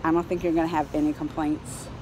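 A middle-aged woman giving a casual, excited review to camera in an animated, colloquial way. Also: sits low, fast talker. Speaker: vlogger reviewing a film. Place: outdoors on a high-rise terrace in a city. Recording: clear outdoor ambient city noise.

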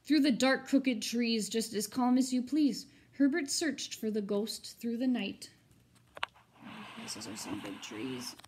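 A woman's voice: fairly high pitch, sing-song, slow pace, background noise. A woman teacher reading a picture book aloud expressively, with informal, chatty asides for children.

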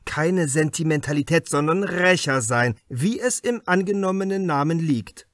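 A man's voice: a little high, animated, moderate pace, no echo, clean studio sound. A man narrating a crime novel as a dramatic audiobook reading.

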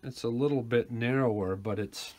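A man's voice: medium pitch, normal speed, a little mellow. A man giving casual, rambling commentary as he works hands-on at a workbench, thinking aloud informally. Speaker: repair video host, technician. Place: small indoor workshop at a workbench. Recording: clear background noise, handling rustle.

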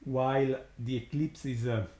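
Slow Italian-accented man, awed, echoey. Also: mid-range voice, steady tone, mellow, slight background noise. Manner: slow, halting, many fillers, awed. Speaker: astronomer hosting a live eclipse stream. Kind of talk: live-stream commentary.

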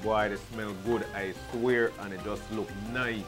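A man's voice: fairly low pitch, steady tone, a little slow, music in the background. A man co-hosting a TV cooking show, playful and jokey, sometimes singing little phrases. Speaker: co-host. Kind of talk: casual chat on cooking show. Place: TV studio kitchen set.

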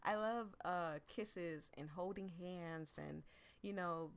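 A woman's voice: lowish voice, slow pace. A woman giving casual, chatty let's play commentary in a candid, emotional and informal way. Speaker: host of a visual novel let's play.

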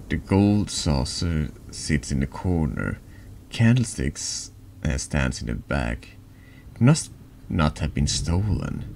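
A man with a deep voice narrating a horror text game in a deliberate, dramatic ASMR storytelling style. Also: creaky, darkish tone, slight background noise. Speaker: streamer reading a text adventure game aloud.

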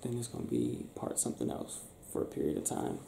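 A young man doing an improvised ASMR boyfriend roleplay, talking softly and affectionately in a breathy, teasing, near-whispered way. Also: fairly quick. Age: young adult. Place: small bedroom, lying on a bed indoors. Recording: roomy sound with faint background noise.

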